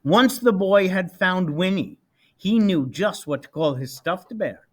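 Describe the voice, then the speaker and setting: A man reading a children's picture book aloud expressively, with deliberate, dramatic emphasis on key words. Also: high-pitched, animated. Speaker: storybook reader.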